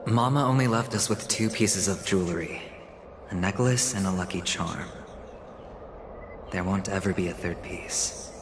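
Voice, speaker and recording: An actor playing a guarded, defiant gambler in a video game, cool, deliberate and dismissive. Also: male voice, sits low, noisy background. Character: guarded, defiant male gambler who courts danger. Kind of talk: dramatic video game voice acting. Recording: loud music behind.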